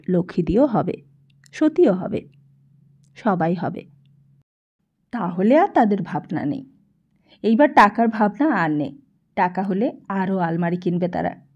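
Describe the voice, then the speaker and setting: A woman narrating a literary audiobook in an expressive, reflective storytelling manner. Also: medium pitch, lo-fi sound.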